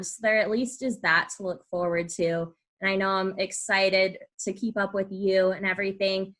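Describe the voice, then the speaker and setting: A young woman interviews in an informal, chatty style. Her voice is crisp and very bright, pitched in the middle range for a woman. She hosts conversationally, as in a relaxed podcast. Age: young adult. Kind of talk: casual interview chat.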